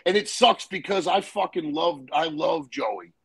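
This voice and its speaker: A man's voice: a little high, unhurried, gravelly, crowd noise. A middle-aged man talking bluntly and profanely as a guest on a video call. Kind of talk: casual chat on a video call.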